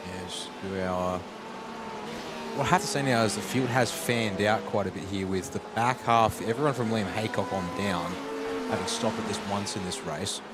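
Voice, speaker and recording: A low-voiced Australian man adds loose, filler-heavy analysis to a live sim race. Loud engine roar from the game runs underneath him. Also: normal speed. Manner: chatty analysis with many fillers. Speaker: co-commentator. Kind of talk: live sim racing commentary. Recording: loud game car noise behind.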